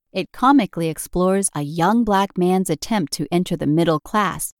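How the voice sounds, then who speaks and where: Female podcast narrator, lower register, measured and articulate scripted read, dry studio sound with music underneath. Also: no room sound. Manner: measured, articulate, formal reading.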